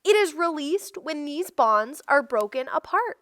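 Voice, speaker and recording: Higher-voiced woman reading aloud, measured pace. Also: sing-song, a bit bright, no echo. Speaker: read-aloud narrator. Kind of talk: children's non-fiction read-aloud.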